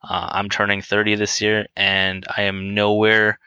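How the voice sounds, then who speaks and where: A man in his late twenties talking candidly and casually as a guest, hesitant and halting, feeling his way through his answers. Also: fairly low pitch, monotone, bright, forceful tone, smooth, no room sound, dull audio. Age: twenty-nine. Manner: hesitant, halting, self-conscious. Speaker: interview guest on a podcast.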